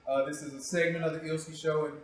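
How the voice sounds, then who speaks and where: Echoey, higher-voiced man hosting casually. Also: little pitch movement, quick pace. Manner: informal, playful, teasing, repeats himself. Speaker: talk show host. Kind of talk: casual interview chat, profane joking. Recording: very echoey room, distant mic.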